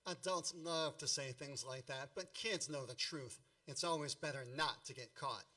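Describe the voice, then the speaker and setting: A man's voice: a little high, moderate pace, pushed and bright, recorded from a distance. A man playing a grown-up storyteller who recalls his boyhood in wry, mock-grandiose radio play narration.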